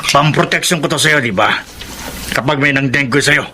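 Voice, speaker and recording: An actor playing a menacing, impatient gun-carrying lover in a Filipino radio drama, taunting and threatening. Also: male voice, mid-range voice, moderate pace, bright, forceful tone, slight background noise. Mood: taunting menace.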